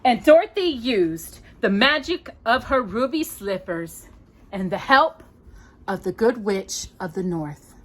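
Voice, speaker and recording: A woman telling a children's story in an expressive, playful way, with casual asides. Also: medium pitch, takes it slowly.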